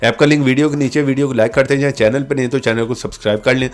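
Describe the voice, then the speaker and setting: A man's voice: medium pitch, steady tone, rapid-fire. A man hosting a tech tips channel, giving app tutorial narration step by step, often adding little check-in tags as he instructs.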